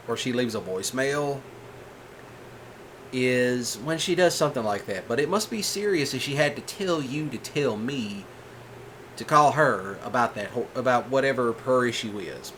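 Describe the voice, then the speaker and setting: A man doing a gentle rancher boyfriend. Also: mid-range voice, lively intonation, normal speed, a little hiss or hum, natural voice. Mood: reassuring. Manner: soft-spoken, patient, folksy. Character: calm reassuring male rancher boyfriend, gentle. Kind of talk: ASMR roleplay.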